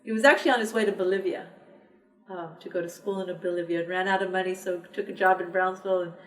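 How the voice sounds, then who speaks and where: A woman's voice: on the low side, steady tone. Woman guest, echoey and distant. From Texas border region. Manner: thoughtful, measured, with frequent restarts. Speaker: interview guest, poet and publisher. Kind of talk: reflective conversational interview. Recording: echoey room, distant mic.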